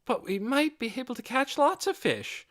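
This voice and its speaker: A man voicing a bitter, guarded female lizard-person leader in a fantasy audiobook, speaking with soft, hesitant emotion. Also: extremely high pitch, big pitch swings, moderate pace, voice pushed up, exaggerated voice. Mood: doubtful, challenging.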